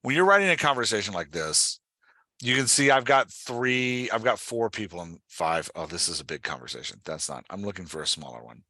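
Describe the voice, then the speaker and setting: A man's voice: on the low side, big pitch swings, a little fast, sharp tone, dry recording. A man hosting a podcast and coaching in a casual, direct, instructive way, often checking that he is understood. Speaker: podcast host coaching an author on dialogue. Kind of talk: casual one-on-one coaching talk.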